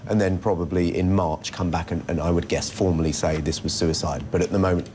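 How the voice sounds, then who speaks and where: A man reporting live as a news correspondent, explaining unscripted in a hesitant, halting way. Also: low-pitched, fairly even intonation, a little mellow, slight background noise. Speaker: senior international news correspondent. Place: television news studio.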